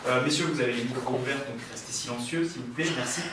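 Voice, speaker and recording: A man hosting a radio show in a casual, halting way, often repeating phrases as he goes. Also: medium pitch. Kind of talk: casual presenting. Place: radio studio. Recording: roomy, distant mic, noisy, clicks.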